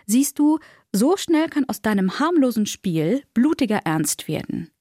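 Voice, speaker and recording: A woman's voice: medium pitch, mellow, no echo, studio quality. A woman reading a scripted story summary as a narrator.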